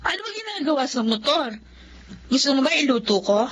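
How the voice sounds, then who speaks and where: A woman's voice: high-pitched, sing-song, fairly quick, slight background noise. A woman acting a hot-tempered, sarcastic, hurting teenage daughter in a Filipino radio drama, with a snappy, defiant delivery and a Filipino accent.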